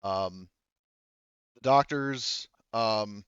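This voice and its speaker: A man's voice: fairly low pitch, drawn-out words, a bit bright, clean tone, dry recording, lo-fi sound. A man narrating a tabletop roleplaying game as game master in a casual, hesitant, improvising way.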